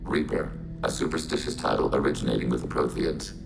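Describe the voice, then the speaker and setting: A calm synthetic machine intelligence, that speaks as many minds at once in a flat, even drone. The voice sits extremely low and is heavily gravelly. A score plays clearly behind it in this game soundtrack. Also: male voice, fairly quick, music in the background, full character voice. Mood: calm, literal. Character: Geth machine platform, calm, collective, literal. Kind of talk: video game cutscene acting.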